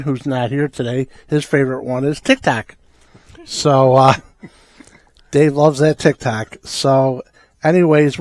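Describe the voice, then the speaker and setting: A man's voice: fairly high pitch, a little mellow, dry recording. Upbeat male host, dry sound. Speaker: podcast host. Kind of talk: casual show host patter.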